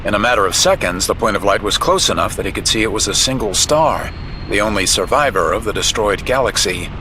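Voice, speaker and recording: A man's voice: fairly low pitch, brisk, sharp tone, slight background noise, rough recording. A man giving dramatic scripted narration of a story as its narrator.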